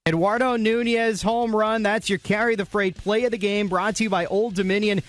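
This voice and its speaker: An American man hosting a radio sports recap, narrating game highlights. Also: fairly even intonation, normal speed, fairly bright tone, faint music behind.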